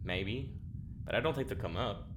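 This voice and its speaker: An actor playing a quiet, resigned, technically minded prisoner in an audio drama, who speaks hesitantly and rambles into detail. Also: mid-range voice, moderate pace, background noise, lightly acted. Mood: guarded, resigned.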